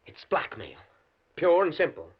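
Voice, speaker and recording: An actor playing a nervous, principled professor, hesitant and earnest, in the style of a 1940s radio thriller. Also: male voice, a little high, big pitch swings, unhurried, slightly rough, full character voice. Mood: distressed. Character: nervous, principled male college professor being blackmailed. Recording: dull, lo-fi old radio recording.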